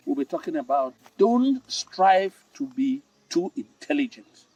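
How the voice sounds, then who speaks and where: An older man with a Cameroonian accent telling moral stories as a host, speaking deliberately and emphatically and repeating key phrases. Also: on the high side, darkish tone, husky, no room sound, recorded from a distance, rough recording. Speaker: storytelling host. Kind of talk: moralising storytelling talk.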